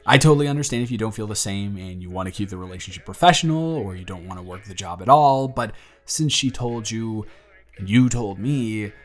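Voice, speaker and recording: A man playing an earnest young single dad in his twenties, talking softly and a little flustered in an audio roleplay. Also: on the low side, fairly quick, close to an everyday voice. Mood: tender. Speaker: father confessing feelings to the babysitter.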